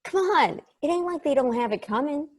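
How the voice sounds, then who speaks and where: A woman's voice: high-pitched, expressive, brisk, a little mellow. A woman playing a crude, mischievous space probe in a table read, talking in a slangy, goading way. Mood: irreverent, goading. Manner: slangy, goading, foul-mouthed.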